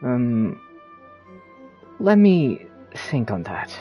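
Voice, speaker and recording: An actor playing an earnest, concerned young traveler in a video game, speaking in a measured way. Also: medium pitch, very animated, dull audio, soft background music. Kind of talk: video game character acting.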